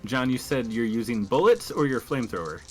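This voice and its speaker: A man's voice: medium pitch, animated, a little fast, soft background music. A man playing a tabletop roleplaying game, talking casually with the group.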